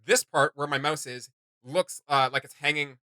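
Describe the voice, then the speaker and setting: A man giving casual, enthusiastic reaction commentary as a video host, in an excitable, slangy and emphatic way. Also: on the high side, moderate pace, no room sound, studio quality.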